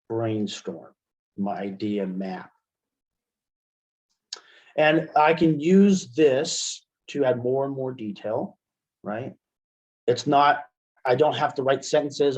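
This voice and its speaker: Male webinar instructor, unhurried delivery, informal step-by-step explaining, slightly muffled lo-fi recording. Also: medium pitch, a little mellow. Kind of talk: software tutorial demonstration. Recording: dull, lo-fi webinar audio.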